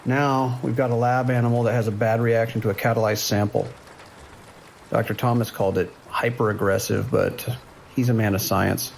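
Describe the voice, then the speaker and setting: Gravelly, measured American night dispatcher acted by a man. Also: mid-range voice, darkish tone, natural voice. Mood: weary, dry. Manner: measured, procedural, hesitant pauses. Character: steady, weary male island sheriff's dispatcher on night shift. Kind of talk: naturalistic audio drama acting. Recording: faint noise, rain behind.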